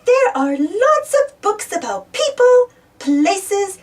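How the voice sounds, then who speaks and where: A woman's voice: extremely high pitch, sing-song, slow pace, distant mic, voice pushed up, full character voice. Woman doing a welcoming storybook librarian. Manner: warm, instructive.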